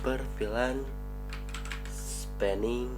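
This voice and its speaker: A man's voice: mid-range voice, fairly even intonation, very slow, music in the background. Man with a cold teaching network setup. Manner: addresses viewers as friends, talks while typing commands. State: has a cold. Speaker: networking instructor. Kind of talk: screen-recorded tutorial.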